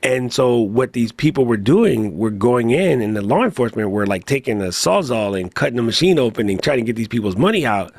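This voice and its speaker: A man on a tech podcast panel, telling a story in an animated, slangy way. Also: mid-range voice, fairly quick, few pauses, no echo. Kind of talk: casual chat.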